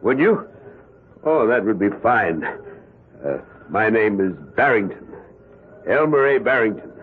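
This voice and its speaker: A man's voice: lowish voice, lively intonation, slight background noise. An older man playing an elderly, cold and boastful Nazi war criminal in hiding, in 1970s radio drama style. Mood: nervous, courteous. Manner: halting and courteous, turning menacing and grandiose. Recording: muffled vintage radio broadcast.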